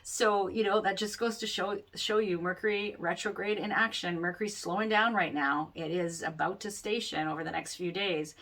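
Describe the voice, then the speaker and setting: A woman astrologer giving a casual forecast, rambling with many fillers, in a loud voice picked up by the camera mic. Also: lowish voice, fairly even intonation, normal speed, few pauses. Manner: rambling, many ums and you-knows. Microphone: camera mic, microphone not plugged in. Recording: distant sound from unplugged mic.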